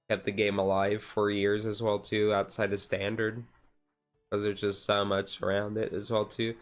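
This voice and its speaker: Low, monotone man rambling casually. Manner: rambling, hedging with repeated "like" and "and stuff". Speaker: streamer. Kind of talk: casual rambling livestream chat; relaxed ASMR chill stream.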